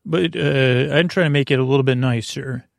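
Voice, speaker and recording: A man hosting a bedtime podcast in a meandering, halting, absent-minded monologue, often interrupting himself, with a gravelly voice. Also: medium pitch, no echo, clean studio sound. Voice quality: gravelly, creaky. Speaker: podcast host.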